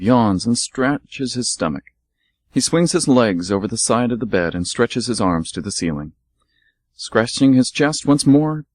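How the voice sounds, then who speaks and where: A man narrating a scripted radio play in a calm, measured way. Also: mid-range voice.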